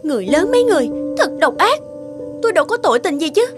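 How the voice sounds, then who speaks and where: Bitter wounded woman, high animated voice. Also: quick pace, music in the background. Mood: anguished, accusing. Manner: dramatic, resentful. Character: bitter, wounded adult woman seeking revenge. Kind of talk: cartoon dubbing.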